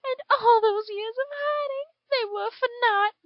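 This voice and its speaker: A woman's voice: very high-pitched, unhurried, no room sound, muffled recording, voice pushed up. A woman voicing a tearful, lovesick teenage girl in a breathless, weepy way, with a Southern US accent.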